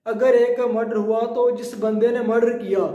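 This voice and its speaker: A man's voice: very high-pitched, flat delivery, normal speed, mellow, lo-fi sound. A man with a Pakistani accent giving an explanatory law lecture, didactically repeating and rephrasing his points. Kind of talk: explanatory lecture in Urdu mixed with English legal terms. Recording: roomy, echoing sound.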